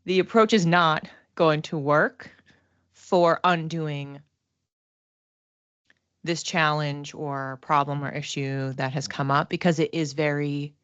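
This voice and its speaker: A woman giving a casual livestream psychic reading, talking to viewers conversationally and thinking aloud in halting phrases. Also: low voice, steady tone, a bit bright, smooth, no echo, highs cut off. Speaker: psychic reader and class teacher.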